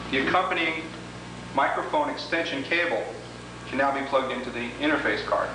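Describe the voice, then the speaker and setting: Echoey, distant man giving step-by-step instructions. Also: mid-range voice. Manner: deliberate, methodical explaining. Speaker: product demonstrator. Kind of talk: instructional demo walkthrough, step by step. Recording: roomy, echoing, noisy 1980s demo tape, distant mic.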